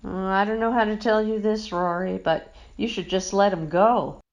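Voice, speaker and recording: A woman's voice: mid-range voice, steady tone, moderate pace, dull audio, natural voice. A woman playing a familiar, chatty pet store owner in an audio drama. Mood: concerned. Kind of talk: radio drama acting.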